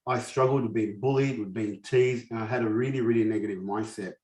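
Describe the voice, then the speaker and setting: A man's voice: lowish voice, fairly even intonation, a little fast, darkish tone, echoey room. A man in his forties with a lisp, whose first language is not English, giving a personal, earnest motivational presentation at a summit. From grew up in a war-torn country, raised in Australia. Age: 40s. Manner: earnest, personal storytelling with frequent restarts. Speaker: summit speaker.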